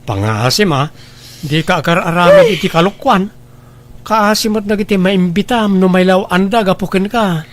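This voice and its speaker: A man's voice: high voice, big pitch swings, normal speed. Man acting a blunt, cautious village family man. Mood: scolding, skeptical. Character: plain-spoken, disapproving village husband and cautious father. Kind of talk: scripted audio drama dialogue.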